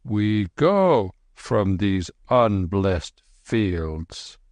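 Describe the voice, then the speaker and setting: A man voicing an old, gentle holy man who speaks in dignified, archaic phrases. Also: mid-range voice, sing-song, drawn-out words, no echo, pitched above the performer's own voice, heavily acted. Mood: solemn. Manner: solemn, archaic, dignified speech.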